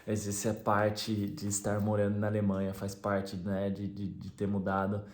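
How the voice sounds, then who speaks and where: A man's voice: on the low side, monotone, normal speed, darkish tone, reverberant. A young adult man from Brazil with a Brazilian Portuguese accent, talking casually to camera as a teacher, rambling with many fillers and restarts. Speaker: teacher and vlogger. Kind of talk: casual vlog monologue.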